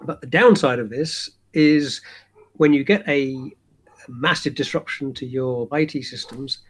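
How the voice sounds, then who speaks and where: A man co-hosting a podcast, explaining things at length in a relaxed, conversational way. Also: medium pitch, measured pace, husky. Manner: explains at length, many "you know" fillers. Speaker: podcast co-host. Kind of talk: casual podcast chat.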